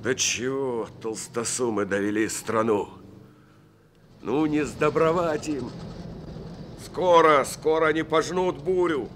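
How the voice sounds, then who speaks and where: An actor playing a plainspoken, kindhearted truck driver in an old Soviet radio play. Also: male voice, medium pitch, takes it slowly, noisy background, lightly acted. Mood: gruff. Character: kindhearted middle-aged truck driver, blunt. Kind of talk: 1970s Soviet radio drama acting.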